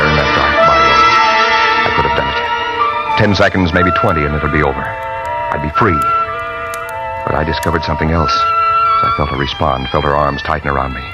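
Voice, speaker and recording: An actor playing a haunted, desperate man on the run in an old radio noir, with tense, halting delivery. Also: very deep voice, lo-fi sound. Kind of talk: 1940s-50s radio noir acting, confessional narration and dialogue. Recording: vintage radio drama with loud music.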